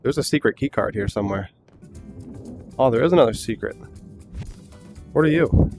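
A young man giving casual, excitable gameplay commentary, swearing often and laughing a lot. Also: mid-range voice, moderate pace, soft background music. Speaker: gaming YouTuber.

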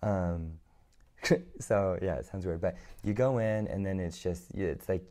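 A young man in his twenties, hoarse from being sick, chatting easygoing as an interview guest on a lapel mic in a large indoor studio. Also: deep voice, measured pace, warm tone. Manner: easygoing, many fillers and restarts. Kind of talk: casual interview chat.

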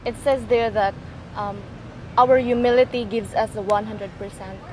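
A woman's voice: on the high side, steady tone, moderate pace, darkish tone, low-quality recording. A nineteen-year-old woman with a Filipino accent answering hesitantly as an interview guest, outdoors with street noise. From Philippines. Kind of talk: casual chat. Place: outdoor bench in a public plaza.

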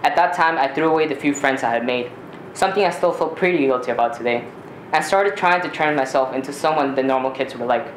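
A teenage male from Ecuador, a native Spanish speaker, reading a personal story aloud in a measured, deliberate way with occasional stumbles. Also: mid-range voice. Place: small community theater stage. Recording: roomy live stage recording, faint noise.

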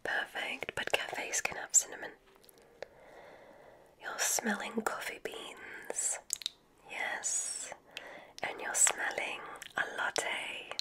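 A woman whispering an ASMR roleplay as a gentle witch examiner, guiding deliberately with soft, encouraging praise. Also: poor audio.

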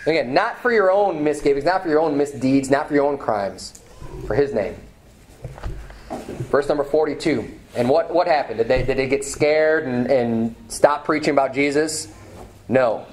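A man in his thirties preaches at a pulpit in a small church hall, picked up by a camera some distance away. He drives his points home by repeating phrases and lets his pitch climb as he warms up. A faint hiss and a few clicks sit under the voice. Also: normal speed. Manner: emphatic preaching, repeats phrases, rises in pitch when stirred. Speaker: pastor. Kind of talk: sermon. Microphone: far camera, at a pulpit.

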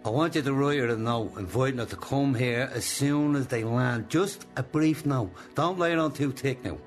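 A male actor as an ageing Irish boss in a radio play. He coaxes deliberately, with a benign surface over controlling menace. Music sits faintly behind his medium-pitched voice. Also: close to an everyday voice. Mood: brisk, commanding. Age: older. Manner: slow, deliberate, coaxing. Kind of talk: radio drama acting.

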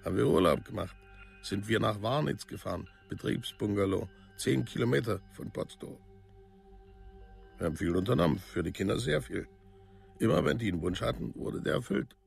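An actor playing a plain-spoken, worn-down parent in a documentary radio drama, talking in halting, clipped colloquial phrases. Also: male voice, on the low side, expressive, gravelly, faint music behind. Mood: nostalgic. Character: parent looking back on family hardship, plain-spoken, worn down.

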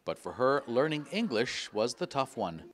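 A man reads a scripted local news report in a measured, upbeat delivery. His voice sits at a middle pitch. He reads it as a voice-over. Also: sing-song, a crowd in the background.

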